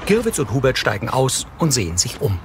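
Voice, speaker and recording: A man's voice: medium pitch, big pitch swings, fairly quick, very noisy. A man reading neutral, scripted audio description for a film. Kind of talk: neutral scripted narration, present tense.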